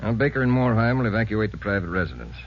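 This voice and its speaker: A deep-voiced, commanding police captain. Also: male voice, sing-song. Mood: methodical. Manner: commanding, measured briefing. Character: commanding male police captain, grim and methodical. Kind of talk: 1950s radio drama acting. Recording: dull lo-fi old radio broadcast with faint noise.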